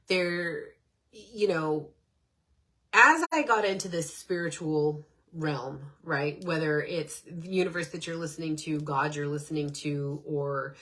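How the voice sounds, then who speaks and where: Low-voiced nasal woman with a stuffed-up nose. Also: measured pace, a bit bright. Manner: chatty and rambling, with frequent fillers. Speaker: podcast host. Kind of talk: casual spiritual self-help talk.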